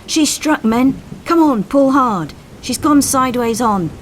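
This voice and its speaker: A woman voicing a commanding lifeboat coxswain, shouting urgent orders to his crew in a dramatic story. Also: very high voice, lively intonation, unhurried, a little hiss or hum, voice pushed up. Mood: alarmed, urgent. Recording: storm sound effects behind voice.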